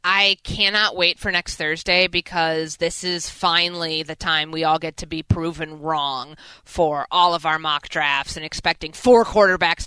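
A woman's voice: fairly low pitch, pushed and bright, clean tone, no room sound, rough recording. A woman hosting a sports radio show, delivering opinions and promos with emphatic energy into a close studio mic. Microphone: close studio broadcast microphone.

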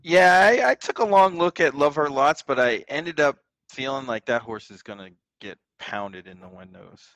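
A man's voice: medium pitch, normal speed, fairly bright tone, no echo. Laid-back man, dry close sound. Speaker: guest co-host. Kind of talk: casual chat about horse race betting.

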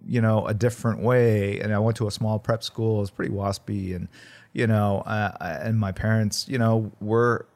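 Reflective man in his fifties, low voice. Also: little pitch movement, moderate pace, darkish tone. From South Korea. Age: 50s. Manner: reflective, many fillers and restarts. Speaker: guest artist. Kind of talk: casual interview conversation.

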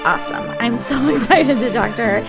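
A bubbly woman hosts a live online interview show, her voice high and bright. She gushes over her guests and signs off with effusive thanks, speaking over a video call. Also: brisk, loud music behind the voice. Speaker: host of live web show. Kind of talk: upbeat live-show hosting.

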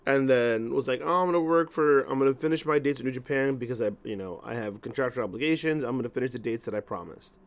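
A man hosts a casual commentary show in a slightly hoarse, mid-pitched voice. He talks conversationally and without a script, offering opinions and working through his thoughts out loud. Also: fast, hardly any pauses. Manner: conversational, opinionated, thinking aloud. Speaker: wrestling news podcast host.

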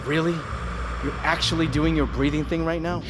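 A man's voice: on the high side, steady tone, moderate pace, background noise, lightly acted. A man playing a skeptical, exasperated doctor in a naturalistic audio drama, with a clipped, sarcastic manner. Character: skeptical, exasperated male doctor guarding his experimental drug. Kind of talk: naturalistic fiction podcast acting.

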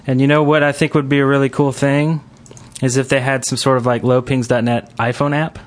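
A man's voice: medium pitch, flat delivery, fairly quick. A man hosting a podcast in a casual, conversational style. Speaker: podcast host. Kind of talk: casual gaming podcast chat. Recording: music clearly playing behind the voice. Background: music.

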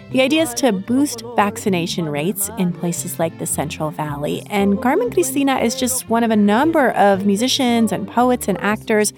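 A woman with an American accent presenting a scripted public radio segment as its host. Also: fairly high pitch.

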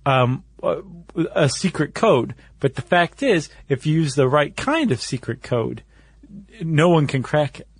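A man's voice: fairly high pitch, sing-song, measured pace, slightly rough, no room sound. A man co-hosting a casual podcast, explaining things step by step in informal, slangy language. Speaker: podcast co-host.

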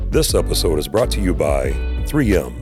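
Deep-voiced male announcer over music. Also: very animated, normal speed. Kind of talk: scripted promo read. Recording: clear music underneath.

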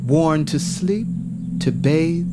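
A man giving solemn, measured, scripted narration of a dramatic fantasy tale. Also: a little high, very noisy. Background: prominent music.

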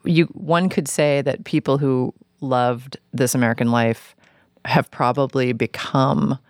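Creaky-voiced woman hosting an interview. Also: extremely low pitch, fairly even intonation, unhurried, a little mellow, no room sound, studio quality. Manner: chatty, rephrasing questions aloud. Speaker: podcast host, interviewer. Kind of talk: conversational interviewing.

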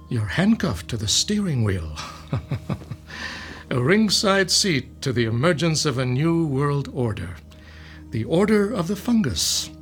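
A man playing a gloating, smug mad scientist villain in a radio drama, with soft laughs. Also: medium pitch.

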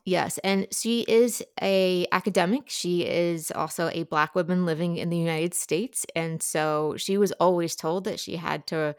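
A woman's voice: lowish voice, measured pace, hardly any pauses, clear, resonant tone, dry recording, clean studio sound. A woman giving a conversational book review as a podcast guest.

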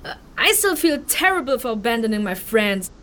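An actress playing a guilt-ridden, stubborn young refugee in a fantasy audio drama, speaking in an emotional, halting, earnest way. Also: female voice, a little high, big pitch swings, a bit bright. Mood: guilty, frustrated.

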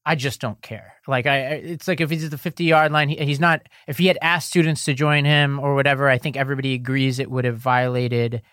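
A man's voice: medium pitch, fairly even intonation, brisk, few pauses, fairly bright tone, dry recording, studio quality. A man co-hosting a podcast, explaining court rulings in casual talk with many "like"s and restarts. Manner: explanatory, filler-heavy, restarts. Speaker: podcast co-host. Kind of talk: casual legal news discussion.

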